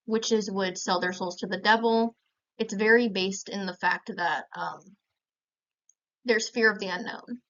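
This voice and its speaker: A woman's voice: mid-range voice, fairly bright tone, clear, resonant tone. A young American woman giving an informative speech in a plain, explanatory manner. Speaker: student presenter. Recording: slightly dull, lo-fi sound.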